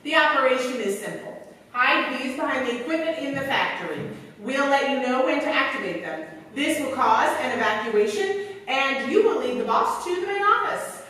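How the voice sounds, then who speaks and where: Woman as female gadget inventor, teasing, very animated pitch, 1940s radio play style, distant in reverberant hall. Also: normal speed. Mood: instructing. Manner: teasing, swooping, theatrical. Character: Eva, playful, theatrical woman gadget inventor. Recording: echoey hall from far off, faint noise.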